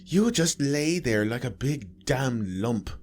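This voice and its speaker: A man's voice: medium pitch, lively intonation, slow pace, darkish tone, faint music behind, voice pushed up. A man voicing a cruel, bitter woman nurse who snaps and sneers with contempt. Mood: sneering. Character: cruel, bitter middle-aged female nurse.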